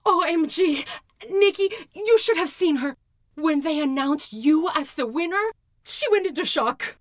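Woman voicing a very high, gushing teen girl. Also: lively intonation, a little slow, no echo, clean studio sound. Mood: excited. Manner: gushing, dramatic. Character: bubbly excitable teen girl.

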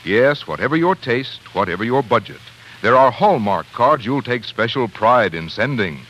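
A man's voice: medium pitch, animated, normal speed, fairly bright tone, poor audio. An American man working as a radio announcer, polished and salesmanlike in a 1940s advertising style. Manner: polished, salesmanlike, clearly phrased. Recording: 1940s radio broadcast recording.

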